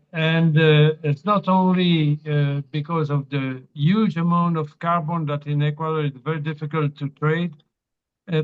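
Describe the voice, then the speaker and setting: A man with a French accent, a non-native English speaker, giving hesitant remarks on a video call with many pauses and fillers. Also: a little high, smooth, narrow, tinny audio. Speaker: meeting participant.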